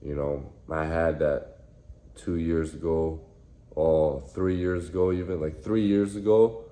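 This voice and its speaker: A man in his early twenties, from the United States, talking in a reflective, halting way with many repeated phrases in a casual vlog. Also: low voice, dark tone. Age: 20s. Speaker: vlogger. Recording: echoey room with faint noise.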